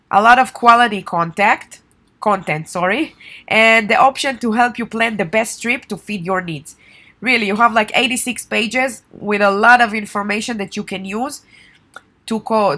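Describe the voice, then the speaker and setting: A young woman whose first language is Hebrew chats informally to her viewers, with a voice of middling pitch. She drops plenty of fillers and restarts as she goes, and she talks the way someone does when they are pitching their own travel guide. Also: sharp tone. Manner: chatty and informal, with many fillers. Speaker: travel guide author and tour operator. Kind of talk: casual promotional vlog.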